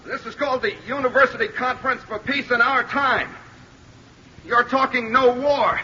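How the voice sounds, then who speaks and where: Impassioned young man, bright old-radio voice. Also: extremely high pitch, steady tone, moderate pace, heavily acted. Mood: impassioned, defiant. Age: young adult. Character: impassioned idealistic young male college student, earnest lover. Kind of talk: old-time radio drama acting. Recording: dull, noisy vintage radio recording.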